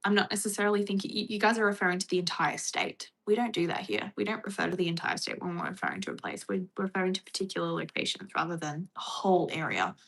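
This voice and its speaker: A woman's voice: on the low side, expressive, quick pace, gravelly, hardly any pauses, a bit bright. An Australian woman chatting casually on a livestream, reading and answering viewer comments. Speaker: livestream host.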